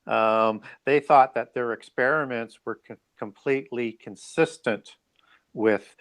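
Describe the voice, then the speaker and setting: A man speaking as an interview guest, explaining ideas in a halting, pieced-together way. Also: medium pitch, a bit bright.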